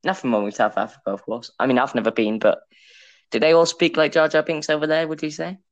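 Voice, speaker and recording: Young man, podcast host, teasing, jokey, middling pitch, dry close mic. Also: fairly even intonation, quick pace, no echo, muffled recording. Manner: teasing, joking, laughs often. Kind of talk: casual chat.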